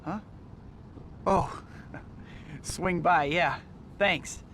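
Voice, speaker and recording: A man's voice: a little high, extremely slow, a bit bright, slight background noise. An actor playing an earnest young male student who is apologetic and polite. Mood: friendly. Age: young adult. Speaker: student and pizza delivery worker.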